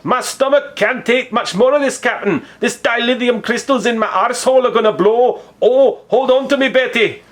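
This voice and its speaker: A man's voice: very high voice, moderate pace, few pauses, voice pushed up. A man voicing a manic, cartoonish pet detective with exaggerated, theatrical mock voices in a comedic story reading. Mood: frantic, clowning. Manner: exaggerated, lurching between mock voices and impressions. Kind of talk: comedic fan fiction reading.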